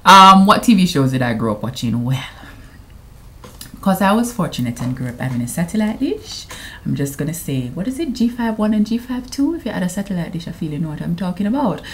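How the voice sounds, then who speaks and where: Jamaican woman, 30s, vlogger; playful, chatty to camera, patois mixed in, slightly low voice. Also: big pitch swings, fairly quick, fairly bright tone, a little hiss or hum. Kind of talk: casual anniversary vlog to camera.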